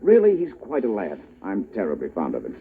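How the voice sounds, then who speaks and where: Genial wealthy businessman, chuckling, hesitant, lively pitch, lo-fi radio broadcast. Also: a little fast, a little hiss or hum. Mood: jovial. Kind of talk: 1940s radio acting. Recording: tinny lo-fi old radio broadcast.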